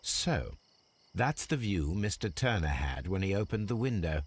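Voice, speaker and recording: A low-voiced English gentleman detective from a video game. He is shrewd and formal, picking out each observation precisely with lively rises and falls. Faint to clear background ambience sits behind him. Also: normal speed, slight background noise. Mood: deducing, assured.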